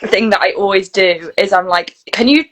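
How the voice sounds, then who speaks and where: A British woman speaks in a casual interview setting. She explains things in a chatty, conversational way, often voicing imagined exchanges as she goes. Her pitch sits in the middle range for a woman. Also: normal speed, a bit bright. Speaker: guest, voice reel studio founder and actor.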